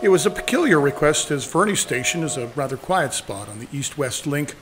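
A man playing an inquisitive narrator in an audio drama, speaking in a measured, questioning way. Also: on the high side, expressive, background noise, close to an everyday voice. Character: inquisitive male narrator, acquaintance of a doctor. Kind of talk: audio theatre narration and dialogue.

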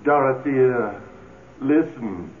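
An actor playing the amorous, coaxing ghost of a philandering husband in a radio drama, pleading and smooth-talking. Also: male voice, mid-range voice, slow pace, lightly acted. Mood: pleading, urgent. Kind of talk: old radio drama acting. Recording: tinny, hissy old radio recording.

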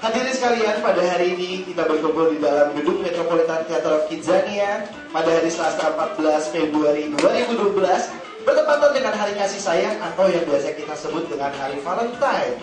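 High-voiced upbeat male emcee in echoey hall. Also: animated, fairly quick. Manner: upbeat, joking. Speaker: event emcee. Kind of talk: ceremony hosting. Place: indoor theater hall. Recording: echoey hall, distant mic, music. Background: music playing.

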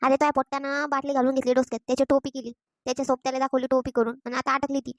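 Woman acting cartoon grandmother, very fast talker, high voice, bossy, rural Maharashtrian accent. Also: level tone, dry recording, clean studio sound, close to an everyday voice. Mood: urgent. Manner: sharp, bossy, nagging. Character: scolding, exasperated village grandmother. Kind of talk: Marathi cartoon comedy dialogue.

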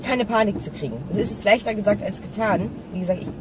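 Woman vlogging with loud car noise. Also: mid-range voice, quick pace, distant mic. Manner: explanatory, addresses the viewer directly. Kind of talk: casual vlog chat while driving. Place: inside a small car, driving. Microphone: dashboard-mounted camera. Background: car and road noise.

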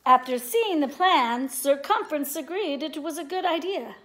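A woman's voice: high-pitched, animated, moderate pace, a bit bright, rough recording. A middle-aged woman reading a storybook aloud for children in a living room. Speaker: storybook reader. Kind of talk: children's read-aloud narration. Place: living room at home, indoors.

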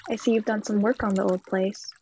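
A woman playing a self-assured young married gentleman with a husky, creaky voice in a radio play. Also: medium pitch, flat delivery, a little fast, slight background noise, lightly acted. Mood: polite. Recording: dry, slightly dull sound.

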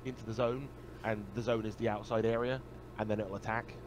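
A man's voice: lowish voice, level tone, fairly quick, background noise. A man casually explaining tabletop game rules to the others as a fellow player.

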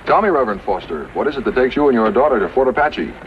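A man acting a polite, earnest young army lieutenant in a 1950s radio western, with brisk, formal manners. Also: mid-range voice, expressive, natural voice. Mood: courteous. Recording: noisy, dull 1950s radio recording.

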